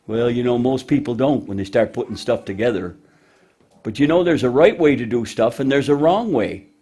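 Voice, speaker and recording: A man's voice: medium pitch, lively intonation, moderate pace, warm tone, husky. A man hosting a children's puppet show, talking in a folksy, instructive way as he gives simple moral lessons.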